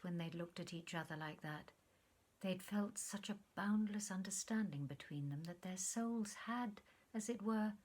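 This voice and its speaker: A woman actor narrating a short story in an expressive, dramatic way, often dropping to a hushed, breathy tone. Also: on the low side. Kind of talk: literary audiobook narration.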